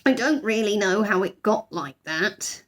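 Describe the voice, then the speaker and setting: A small young bear from a children's storybook, acted out by a woman, swooping in pitch. He is earnest and mannerly, picking his words politely even when flustered. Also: a little slow. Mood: meek, polite. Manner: polite, earnest, formal phrasing.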